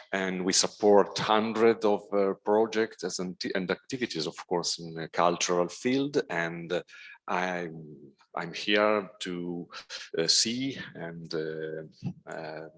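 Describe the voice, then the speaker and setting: Slow, deep Italian man, halting. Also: in a large hall. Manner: halting, many pauses and fillers. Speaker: regional arts administrator. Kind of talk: roundtable self-introduction. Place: meeting room at a foundation.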